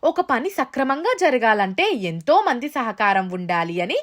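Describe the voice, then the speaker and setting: Casting: female narrator for children's stories, high-pitched and highly animated delivery. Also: a little slow. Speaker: storyteller. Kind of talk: scripted children's story narration.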